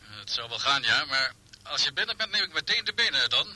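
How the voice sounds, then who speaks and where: A man's voice: medium pitch, big pitch swings, fairly quick, bright, forceful tone, low-quality recording. An actor playing a cautious, worldly newspaperman in a radio play, speaking informally and warily to a friend. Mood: wary, friendly. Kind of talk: Dutch radio play acting.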